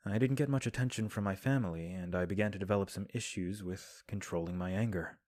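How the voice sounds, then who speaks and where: An actor playing a composed young male prince who gives counsel in a measured, formal way, recorded at home. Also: low voice, a little mellow, dry recording. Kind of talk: audio drama acting.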